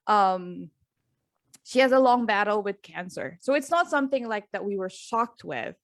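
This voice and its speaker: A woman's voice: mid-range voice, sing-song, moderate pace, dry recording. An earnest, middle-aged woman from the Philippines speaks as a guest coach over a video call. She tells her life story openly, restarting phrases as she goes. Her voice is close and dry, with no room sound. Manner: earnest, open, with frequent fillers and restarts. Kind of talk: conversational interview storytelling.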